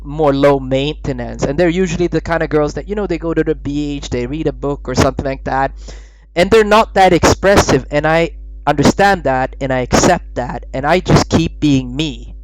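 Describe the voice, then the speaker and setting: A man's voice: a little high, moderate pace, dry recording, low-quality recording. A man in his thirties from Sweden, a dating coach giving laid-back voice-over commentary and often checking in with "right?". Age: 30s. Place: voice-over recorded apart from the footage.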